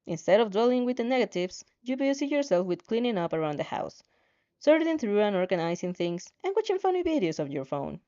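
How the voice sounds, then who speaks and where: A woman narrating a story in an expressive amateur storytelling style. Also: medium pitch, a little fast, dull audio. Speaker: fan fiction reader.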